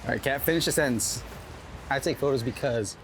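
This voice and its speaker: A man's voice: medium pitch, a little fast, noisy background. A man casually hosting an interview outdoors on a city street. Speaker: interview show host.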